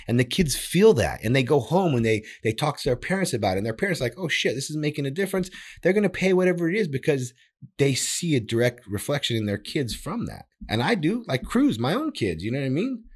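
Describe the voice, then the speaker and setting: A man's voice: mid-range voice, sing-song, fast talker, breathy edge. A man hosting a podcast, talking in a casual, emphatic way with lots of swearing and repeated words. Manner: profane, emphatic, repeats himself. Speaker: podcast host. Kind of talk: casual interview chat.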